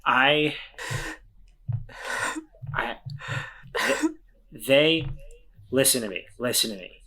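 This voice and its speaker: A man's voice: mid-range voice, very animated, drawn-out words, pushed and bright, exaggerated voice, poor audio. A man acting an earnest, protective companion in an actual-play game, pleading and stammering. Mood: urgent reassuring.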